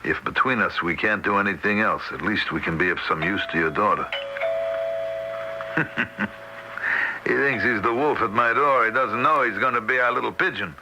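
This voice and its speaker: An actor playing a weary, devoted older husband in a 1970s radio drama, halting and emotional, anxious and wry by turns. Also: male voice, on the low side, close to an everyday voice. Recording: noisy 1970s radio broadcast recording.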